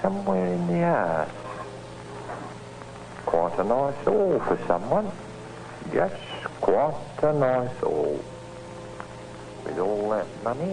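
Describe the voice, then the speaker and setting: A man's voice: mid-range voice, creaky, heavily acted. An actor playing a scheming working-class London Cockney handyman and murderer in an old-time radio drama, muttering and slyly deferential. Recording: noisy vintage radio recording.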